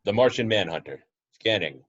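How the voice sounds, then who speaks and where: A man performing a cold surveillance supercomputer in a low voice. Its reports are clipped, formal and emotionless, heard through a dull home recording of a superhero script read. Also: lively intonation, moderate pace, a bit bright. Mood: impassive.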